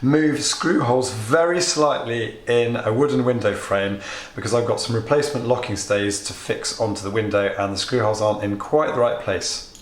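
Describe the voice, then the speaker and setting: A British man walks through a home repair in a chatty, plain way, his voice a bit hoarse from a cold. The room rings with echo, and a faint hiss sits under the sound. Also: medium pitch, normal speed. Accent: British English. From United Kingdom. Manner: chatty, explains steps plainly with small asides. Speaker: DIY video host. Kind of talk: relaxed DIY tutorial. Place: home workshop or kitchen.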